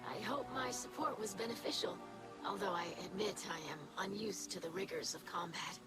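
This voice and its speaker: A woman voices a studious young alien in a video game. Her pitch is medium and her wording formal and precise. She comes across as polite and earnest over loud music. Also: moderate pace, lightly acted. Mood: earnest, grateful. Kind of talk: video game character acting.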